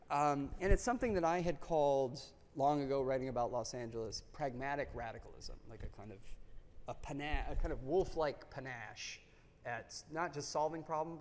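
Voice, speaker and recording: A man introduces a guest lecturer from a podium in an auditorium. His talk is halting and full of fillers and restarts. The sound carries a long hall reverb. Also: mid-range voice, a little mellow.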